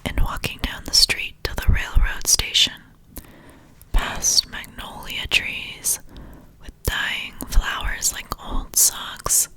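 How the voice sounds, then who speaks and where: A woman speaking in an unvoiced, breathy whisper in an ASMR style, gently unhurried and deliberate. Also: poor audio. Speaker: ASMR creator and poetry reader.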